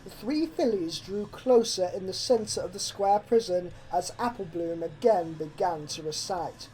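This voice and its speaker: A woman's voice: fairly low pitch, unhurried, mellow, a little hiss or hum, poor audio. A woman reading a scripted horror story aloud as narrator. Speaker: fan fiction narrator.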